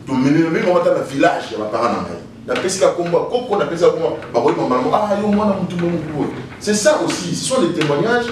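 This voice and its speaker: Animated man, echoey distant mic. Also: a little high, quick pace, hardly any pauses, a little hiss or hum. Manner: animated, repeats key phrases, switches into Lingala. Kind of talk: TV studio discussion.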